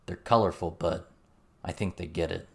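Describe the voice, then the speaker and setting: A man voicing a calm, weary soldier who speaks in a measured, grave, matter-of-fact way. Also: low-pitched, creaky. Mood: reflective.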